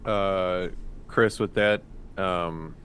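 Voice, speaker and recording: Deep-voiced man, hesitant game player. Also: steady tone, very slow, fairly bright tone. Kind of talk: casual roleplay at a game table. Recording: faint background noise.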